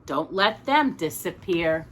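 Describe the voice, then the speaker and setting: A woman's voice: fairly low pitch, lively intonation, slow pace, slight background noise. A woman reading a children's picture book aloud as a storytime reader, expressively and with small asides to a young listener.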